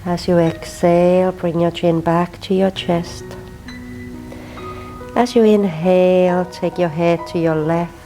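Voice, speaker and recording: A woman from Finland with a Finnish accent, teaching a gentle yin yoga class and softly cueing breath and movement. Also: on the low side, little pitch movement, slow, faint music behind, rough recording. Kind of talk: guided yin yoga instruction.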